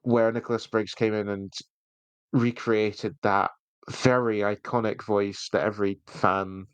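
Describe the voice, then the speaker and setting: A man's voice: fairly low pitch, fairly even intonation, unhurried, clear, resonant tone, no room sound. A man co-hosting a casual review chat, talking in a jokey, teasing way. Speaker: podcast co-host.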